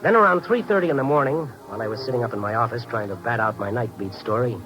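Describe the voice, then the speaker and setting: An American actor playing a wry, dogged night-beat newspaper reporter in a 1950s radio drama, mixing hardboiled narration with dialogue. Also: male voice, medium pitch, fast talker, dull audio, lightly acted. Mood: wry, tense narration. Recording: old 1950s radio recording, music behind.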